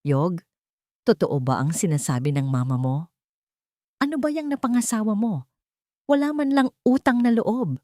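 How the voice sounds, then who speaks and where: A woman's voice: mid-range voice, sing-song, normal speed, pauses often, warm tone, dry recording, studio quality, pitched above the performer's own voice, natural voice. A woman voicing reproachful, scandalized older relatives in a family story, accusing and scolding.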